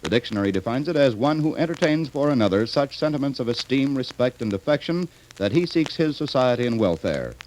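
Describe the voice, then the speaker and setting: A North American man works as a radio announcer in the 1940s manner. His medium-pitched voice moves with lively, emphatic swings as he delivers folksy, earnest sponsor copy. A faint hiss sits on the vintage recording. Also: brisk, few pauses, low-quality recording.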